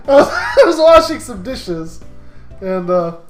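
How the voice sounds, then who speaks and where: Animated man wisecracking in an echoey room. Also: very high voice, takes it slowly. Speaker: co-host of a comedic game playthrough. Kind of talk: casual joking banter. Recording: roomy echo, music behind.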